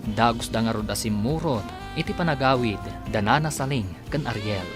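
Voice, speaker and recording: A man working as a radio drama narrator and announcer, reading scripted narration in a measured, dramatic way. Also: medium pitch, animated. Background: clear background music.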